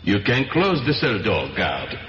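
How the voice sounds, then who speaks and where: Impatient french police inspector, french accent, formal and snippy, echoey muffled old radio play. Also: male voice, on the low side, wildly swooping pitch, a little slow. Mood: formal, puzzled. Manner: formal, curt, dismissive. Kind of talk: radio crime drama acting. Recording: muffled, noisy, echoey reverberant radio recording.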